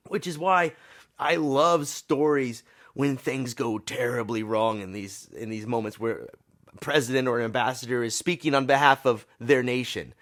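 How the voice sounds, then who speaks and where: A man, a pastor hosting his podcast sermon, his voice tired and a little scratchy, speaking informally with small repetitions. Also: mid-range voice.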